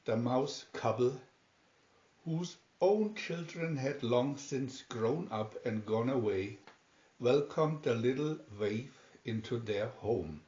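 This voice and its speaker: A man reading a children's story aloud in a deliberate, dramatic storytelling manner. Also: medium pitch, darkish tone. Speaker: storybook reader. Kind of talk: scripted children's story narration, read aloud. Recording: roomy, slightly dull home recording.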